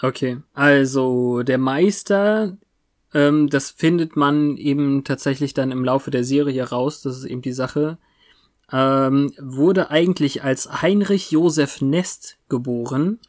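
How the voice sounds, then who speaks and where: Halting podcast co-host with a mid-pitched voice. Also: darkish tone. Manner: halting, spontaneous. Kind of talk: casual chat about a TV series.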